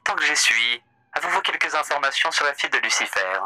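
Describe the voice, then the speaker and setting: A man's voice: fairly high pitch, animated, brisk, sharp tone, low-quality recording. A man voicing a suave, theatrical male demon who runs a hotel, courtly and mocking in a voice roleplay. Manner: grandiloquent, courtly and sardonic.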